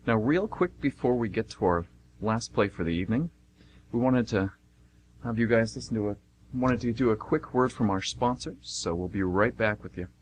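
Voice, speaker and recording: A man's voice: lowish voice, expressive, moderate pace, rough recording. A man working as announcer and narrator for a radio theatre show, reading scripted links and narration. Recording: faint noise and hum.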